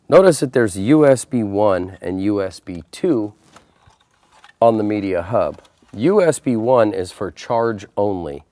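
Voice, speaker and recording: A man's voice: on the low side, mellow, no room sound. A man presenting an installation tutorial, talking through each step in a plain, methodical, procedural way. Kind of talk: step-by-step instructional narration.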